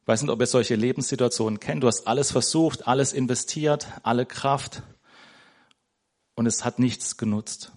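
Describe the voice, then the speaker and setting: A man's voice: medium pitch, steady tone, normal speed, a little mellow. A man preaching an informal sermon in a church, talking conversationally, searching for words and ending most sentences with a questioning tag. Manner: conversational, tags nearly every sentence with "ne?". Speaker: lay preacher.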